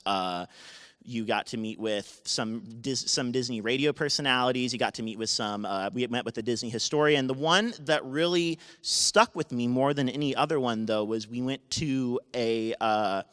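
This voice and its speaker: A man in his twenties with a naturally loud voice, telling a personal story live to an audience, halting and often restarting his sentences. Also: mid-range voice, smooth. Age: 20s. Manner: halting, with many restarts and self-corrections. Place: university event space. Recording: live talk with long hall reverb.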